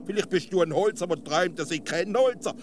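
A man's voice: high-pitched, fast, slight background noise, natural voice. A man with a Swiss German accent playing a stubborn, muddled, argumentative village philosopher in a comic radio play. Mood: argumentative, earnest.

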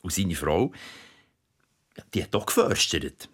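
An actor playing an earthy father who tells a bedtime story in Swiss German, dramatic and drawn-out. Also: deep voice, very animated, fairly bright tone, breathy edge, exaggerated voice. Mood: storytelling. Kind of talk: dramatic storytelling in a radio play.

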